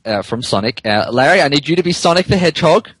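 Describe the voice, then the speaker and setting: A man hosting a podcast, switching between casual banter and comic dramatic reading in an amused, animated manner. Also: fairly high pitch, fast talker, slightly rough, no room sound. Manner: animated, amused, breaks into laughter while reading. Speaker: podcast host and narrator.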